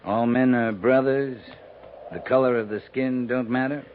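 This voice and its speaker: A man's voice: mid-range voice, fairly even intonation, normal speed, close to an everyday voice. An American man playing a wary, terse frontier cavalry captain in a 1950s radio drama. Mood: thoughtful. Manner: terse, guarded. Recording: tinny, noisy 1950s radio recording.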